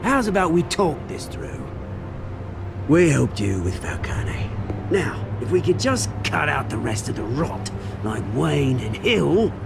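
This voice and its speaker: Theatrical, swooping British crime boss. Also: male voice, on the high side, big pitch swings, a little slow, gravelly, music in the background, full character voice. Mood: smug, persuasive. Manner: mocking, showy, swaggering. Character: mocking, theatrical male crime boss taunting a vigilante. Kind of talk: video game character acting.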